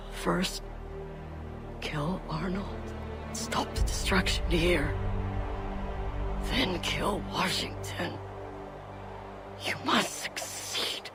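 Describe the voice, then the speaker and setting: An actor playing an old, solemn village elder in a video game, speaking in weighty, halting phrases. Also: male voice, sits high, exaggerated voice. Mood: weak, urgent. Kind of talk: cinematic video game acting.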